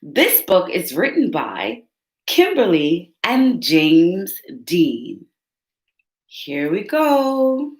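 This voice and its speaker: A woman's voice: on the low side, wildly swooping pitch, extremely slow. A woman reading a picture book aloud to young children, turning to ask her listeners questions along the way. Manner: speaks directly to young listeners, asks them questions. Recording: roomy echo.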